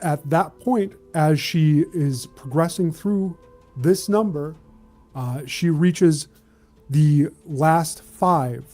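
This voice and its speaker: Slow-paced man narrating as game master. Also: on the high side, dark tone. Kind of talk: narrating a tabletop roleplaying game.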